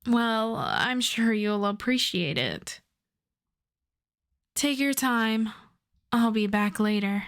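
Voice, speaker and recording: A woman playing a suave, self-assured female supervillain in a roleplay audio drama, flirting and teasing in an unhurried way. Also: on the high side, lively intonation, fairly bright tone. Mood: businesslike, teasing. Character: suave flirtatious female supervillain courting her costume maker.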